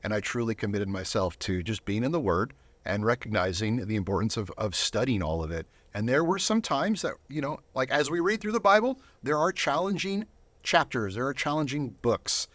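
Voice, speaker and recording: Guy chatting as a guest, normal pitch, thoughtful n sincere, repeats words a bit, no room echo. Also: expressive, normal speed, a bit bright. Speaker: interview guest, volunteer Bible reader. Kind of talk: casual conversational interview. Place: studio.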